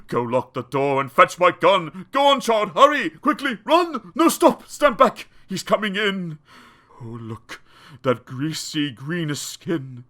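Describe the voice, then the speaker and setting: A man acts an alarmed parent in a storybook poem. The delivery is urgent and exclamatory, leaping in pitch with a bright, tense edge. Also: sing-song, slow, exaggerated voice. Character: alarmed, urgent parent warning a child of monsters.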